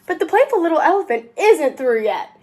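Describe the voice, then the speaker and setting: A woman reads a children's book aloud. Her voice is high and swings widely in pitch, with an expressive, sing-song storytelling delivery suited to young listeners. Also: brisk. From United States. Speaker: host of a read-aloud channel.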